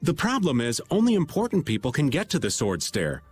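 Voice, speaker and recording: A man's voice: fairly high pitch, huge pitch swings, a little fast. An actor playing a laid-back, middle-aged male archer who drawls and cracks jokes. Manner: drawling, wisecracking. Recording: game music underneath.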